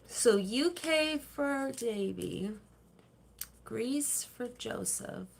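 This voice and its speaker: Woman livestream host, slow unhurried chat, laughs easily, reads out viewer comments. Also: fairly high pitch, lively intonation, vocal fry. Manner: chatty, laughs easily, rambling with fillers.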